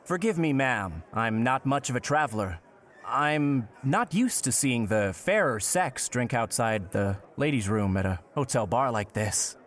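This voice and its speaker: An actor playing a courteous, curious, old-fashioned gentleman traveler in a period audio drama, with polite and playfully florid speech. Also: mid-range voice, expressive, a little slow, close to an everyday voice. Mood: courteous, sheepish.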